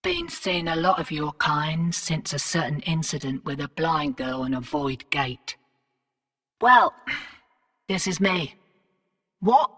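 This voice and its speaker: A woman's voice: low voice, very animated, slow pace, pauses often, fairly bright tone, in a large hall, heavily acted. An actress voicing a cocky female rogue fortune hunter and arms dealer in a video game, cheeky, slangy and sardonic. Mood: teasing. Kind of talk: video game character voice lines.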